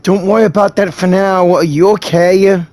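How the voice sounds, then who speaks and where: A woman's voice: lowish voice, fairly even intonation, normal speed, a little mellow, faint music behind. A woman playing a video game, casually voicing dialogue as she goes.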